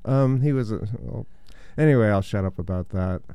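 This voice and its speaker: A man hosting a radio show and chatting casually between records, his voice deep and congested from a cold, rambling a little with fillers. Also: moderate pace, mellow, clean tone, dry recording, studio quality. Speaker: radio DJ host.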